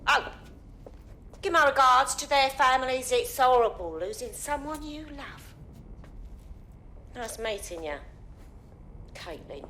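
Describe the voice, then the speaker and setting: An actress playing a ruthless female London crime boss in a video game, with a husky voice and a mock-tender, condescending manner. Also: high-pitched, pushed and bright, slight background noise, heavily acted. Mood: coolly taunting. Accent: English, London. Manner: mock-tender, calls people love and sweetheart. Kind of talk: dramatic video game acting.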